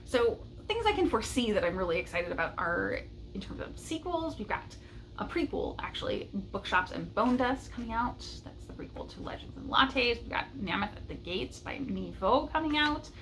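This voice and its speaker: A woman's voice: on the high side, sing-song, normal speed, a bit bright, a little hiss or hum, rough recording. A woman chatting casually and enthusiastically as a book vlogger, with a light chuckle in her talk.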